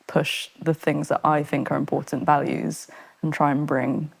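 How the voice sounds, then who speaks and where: Low-voiced chatty woman guest. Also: flat delivery, moderate pace, gravelly, breathy edge. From Britain. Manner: chatty, self-deprecating, many fillers. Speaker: guest, fashion photographer. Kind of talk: casual podcast conversation.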